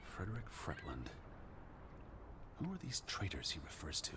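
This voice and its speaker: An actor playing a cautious, earnest American gentleman naturalist of the 1920s in a video game, proper and reluctant in manner. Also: low voice, huge pitch swings, normal speed, a little hiss or hum, heavily acted. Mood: dry, doubtful.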